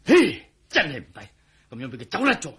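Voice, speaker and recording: Husky, agitated Cantonese policeman. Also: fairly high pitch, huge pitch swings, a little fast, fairly bright tone, exaggerated voice. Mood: alarmed, frustrated. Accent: Hong Kong Cantonese. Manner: emphatic, sighing, dramatic. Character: agitated, worried male police officer, earnest and blustery. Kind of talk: radio drama acting.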